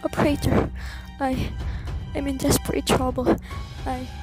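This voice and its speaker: A woman's voice: high voice, flat delivery, measured pace, music in the background, low-quality recording. A woman playing an anxious, demanding invalid wife in a radio play, fretful and insistent. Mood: fearful.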